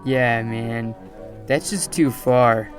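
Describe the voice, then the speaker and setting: Casting: man as dismissive high school kid, slow emphatic delivery, music underneath, audio drama.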